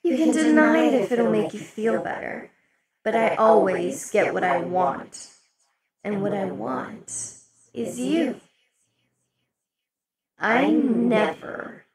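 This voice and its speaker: Woman playing a possessive demon queen: unhurried, velvety, slipping into whispers, over a loud party crowd. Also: a little high. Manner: slow, velvety, dips into whispers. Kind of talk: ASMR roleplay. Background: crowd chatter of a party.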